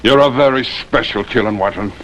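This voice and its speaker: A man's voice: medium pitch, a little fast, fairly bright tone, lightly acted. An actor playing a hard, blunt, captive rebel gunman in an old TV western, taunting with scorn and menace. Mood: grim. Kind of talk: 1950s TV western acting. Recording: noisy old TV soundtrack, rain-like hiss.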